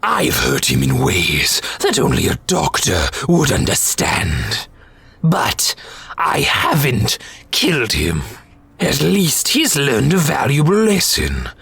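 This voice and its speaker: A man playing a sinister, gloating robot warlord in a fan dub, speaking with theatrical mock politeness in a husky, gravelly, breathy voice. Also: mid-range voice, huge pitch swings, slow, fairly bright tone, heavily acted. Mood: gloating menace. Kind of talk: scripted fan dub acting.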